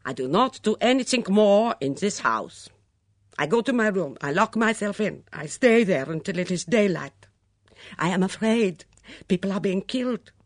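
Storybook foreign maid, acted by a woman: raised pitch, forceful, fearful and defiant, broken English with a foreign accent. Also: dry recording, clean studio sound, close to an everyday voice. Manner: emphatic, dramatic declarations. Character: frightened, melodramatic foreign maid, indignant and stubborn.

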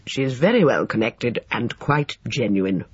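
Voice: medium pitch, expressive, measured pace, breathy edge, no room sound, dull audio. A narrator voicing a brusque, irritable, well-to-do English businessman, clipped, bossy and indignant, in an audiobook. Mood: assured.

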